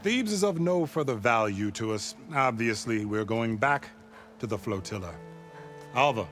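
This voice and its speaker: Actor as pompous, swooping military overseer. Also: male voice, mid-range voice, lively intonation, fairly bright tone, lightly acted. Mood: smug, commanding. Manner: pompous, formal, measured. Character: haughty, calculating male foreign military overseer. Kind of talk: video game voice acting. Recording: music behind the voice.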